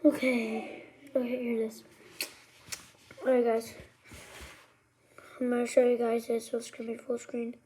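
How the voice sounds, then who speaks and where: A child with a high voice talking casually and ramblingly to viewers as a vlogger. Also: flat delivery, unhurried, long pauses, mellow.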